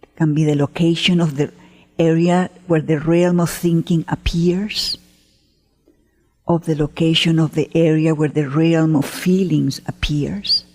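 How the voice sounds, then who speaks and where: A woman leading a guided meditation as a teacher, gentle and unhurried, pausing often between short phrases in a breathy voice. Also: low voice, steady tone. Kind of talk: guided meditation, spoken slowly to listeners. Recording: long hall-like reverb.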